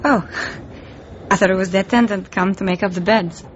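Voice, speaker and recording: A woman's voice: mid-range voice, brisk, close to an everyday voice. Woman as a young Russian spy, muffled recording. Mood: defensive. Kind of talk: radio drama acting. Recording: dull lo-fi recording, background noise.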